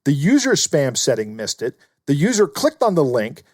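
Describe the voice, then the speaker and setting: A man's voice: on the high side, sing-song, normal speed, darkish tone, no room sound, studio quality. A man co-hosting a podcast, giving earnest, explanatory commentary in a conversational way. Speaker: podcast co-host.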